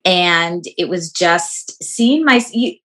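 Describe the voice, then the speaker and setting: A woman in her thirties speaks as a podcast guest in a bright, forceful voice. She sits a little low in pitch and talks in quick, chatty bursts full of fillers. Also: lively intonation. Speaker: guest, wellness coach. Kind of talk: casual interview chat.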